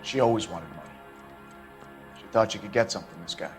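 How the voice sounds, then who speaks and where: A smooth-talking, evasive businessman being questioned in a crime game. He speaks in a lowish voice, hedging politely and staying guarded. Faint music sits under the dialogue. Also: fast talker. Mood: uneasy, evasive. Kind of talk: dramatic interrogation dialogue.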